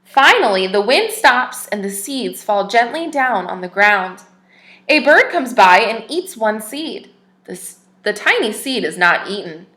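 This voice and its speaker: A woman teacher reading a picture book aloud to young children, expressive and stopping to ask them questions. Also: medium pitch, measured pace, echoey room.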